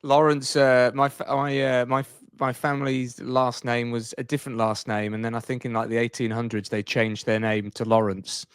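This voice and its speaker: A man's voice: medium pitch, flat delivery, moderate pace, hardly any pauses, smooth, no room sound, clean studio sound. A man in casual podcast conversation, rambling along in a playful, jokey way. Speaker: podcast co-host.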